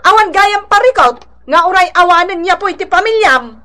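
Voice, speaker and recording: Casting: long-suffering mother, mocking and emphatic, very high bright voice, radio drama. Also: expressive, moderate pace, heavily acted. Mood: fed up, reproachful. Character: fed-up, sarcastic wife and mother of a poor family.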